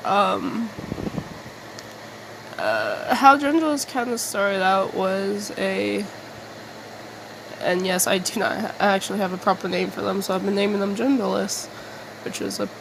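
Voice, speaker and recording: A woman rambling casually in a halting, self-deprecating, mildly sweary way, as an artist making a video. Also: medium pitch, gravelly, background noise.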